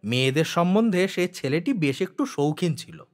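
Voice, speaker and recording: Higher-voiced man narrating a literary story. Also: very animated, normal speed. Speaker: audiobook narrator.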